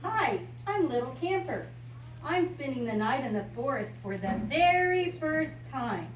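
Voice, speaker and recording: A woman's voice: sits high, sing-song, a little slow. Older American woman talking to kids, echoey. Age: 60s or older. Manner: simple, explanatory, addressing young listeners. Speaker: storyteller and RV enthusiast visiting children. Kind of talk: informal talk and picture-book reading to children. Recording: echoey room, distant mic, loud background noise.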